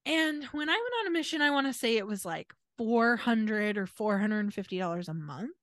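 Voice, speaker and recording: A woman with an American accent co-hosting a podcast in casual chat, telling stories in an animated, informal way. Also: a little high, normal speed, no echo, studio quality. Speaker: podcast co-host.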